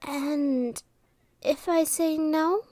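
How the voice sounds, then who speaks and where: A woman plays a guarded teenage village girl with magic. Her voice is high and she speaks slowly and hesitantly, wary and defiant, in a dry, close audio drama recording. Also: steady tone, mellow, no echo. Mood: wary, disbelieving. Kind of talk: scripted audio roleplay acting.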